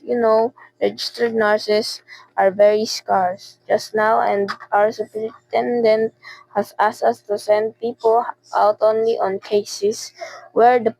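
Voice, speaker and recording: A woman's voice: a little high, vocal fry, a little mellow, dry recording, rough recording. A teenage girl acting in a radio drama as a polite, unruffled woman clerk at a nurses' registry, formal and matter-of-fact.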